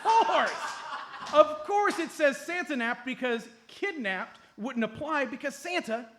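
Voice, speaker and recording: A man's voice: very high-pitched, lively intonation, slow, noisy background. A man performing live comedic radio drama in a mock-serious, take-charge manner.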